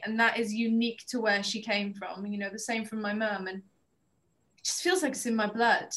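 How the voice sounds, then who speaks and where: A woman speaks on a discussion panel about her writing, her voice sitting a little high. She tells stories in an animated way and laughs often. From Wales. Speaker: TV writer on a panel. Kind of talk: casual panel talk.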